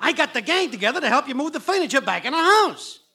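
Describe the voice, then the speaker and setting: An excitable neighborhood pal in 1940s radio comedy. His voice is extremely high and bright, swinging up and down with boisterous, chummy familiarity. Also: fast, heavily acted. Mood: eager, friendly. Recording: old radio show recording.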